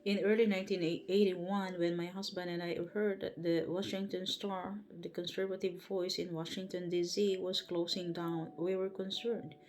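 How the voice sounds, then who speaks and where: A woman with a Filipino accent reads a book aloud on a home livestream before bed. She reads carefully and haltingly, stopping to correct herself. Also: lowish voice, flat delivery, darkish tone, a little hiss or hum, rough recording. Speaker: livestream host. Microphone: livestream setup.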